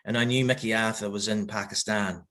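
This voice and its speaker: A middle-aged man with a New Zealand accent and a fairly low voice talks through his past in an interview. He hesitates often, restarts his sentences, and speaks openly and reflectively. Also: fairly even intonation, sharp tone. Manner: halting, many fillers and restarts, open and reflective. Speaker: guest, cricket coach. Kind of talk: candid podcast interview storytelling.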